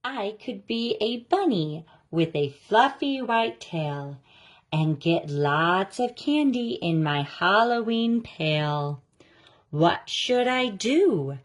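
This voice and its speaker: A woman reading a children's picture book aloud, with a deliberate, expressive storytelling delivery. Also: medium pitch. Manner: slow, deliberate, expressive delivery of rhyming lines. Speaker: story reader.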